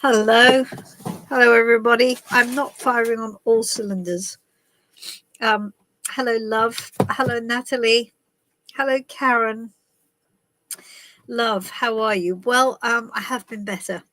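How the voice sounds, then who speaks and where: Woman, stuffy with a cold, tarot reader doing live chat. Slightly high, slow and meandering. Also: fairly even intonation, pushed and bright, slight background noise, low-quality recording. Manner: chatty and rambling, with many ums and restarts. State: has a head cold, stuffy and coughing. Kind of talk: casual live-stream chat and card reading. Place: in the woods while travelling.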